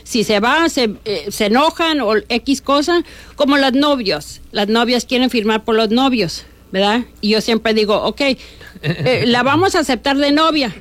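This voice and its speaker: A woman's voice: on the high side, expressive, measured pace. A middle-aged woman from northern Mexico with a northern Mexican accent, explaining bail bond rules as a guest in a casual radio interview, with many fillers and restarts. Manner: chatty, frequent fillers and restarts.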